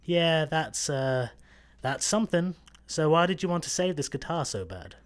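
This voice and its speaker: Man playing a cocky Scottish stowaway, animated. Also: fairly high pitch, normal speed, close to an everyday voice. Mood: casual, cocky. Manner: blunt, cocky. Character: brash, scheming male stowaway chancer. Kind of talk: sci-fi comedy radio play.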